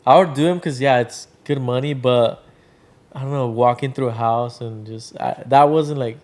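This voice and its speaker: A man in his thirties from Venezuela, chatting casually as a podcast guest, with a relaxed, rambling manner full of fillers. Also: mid-range voice, moderate pace. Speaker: guest, drink brand founder. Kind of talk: casual interview chat.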